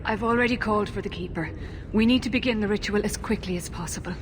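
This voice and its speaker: Game role: grieving elf mother woman, gentle, anxious, mid-range voice, noisy background. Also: fast talker, natural voice. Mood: anxious, grateful. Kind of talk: video game voice acting. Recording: noisy game capture with rumble.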